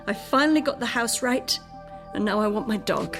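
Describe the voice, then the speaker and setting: High-voiced, discontented sarcastic wife. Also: female voice, normal speed, vocal fry. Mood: bitter. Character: discontented wife, sarcastic, self-absorbed, craving comfort. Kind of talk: radio drama acting. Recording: prominent music behind the voice.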